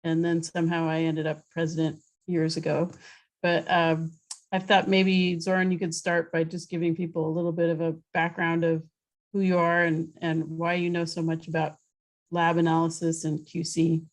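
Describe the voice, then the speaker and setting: A woman hosting a webinar interview on a video call, introducing her guest in a relaxed, chatty way. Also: sits low, normal speed. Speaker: host.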